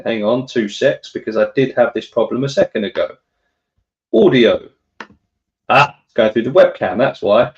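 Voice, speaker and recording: A man with a British accent hosting a live podcast-style stream, chatting casually, greeting viewers and wandering off on asides. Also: medium pitch. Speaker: podcast host.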